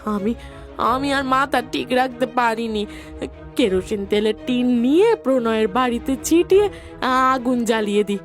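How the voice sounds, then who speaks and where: Woman playing a high-voiced, distraught village wife. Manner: tearful, drawn-out, stammering repetitions. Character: distraught village wife, guilty and desperately pleading. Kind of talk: dramatized animated melodrama. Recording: music behind the voice.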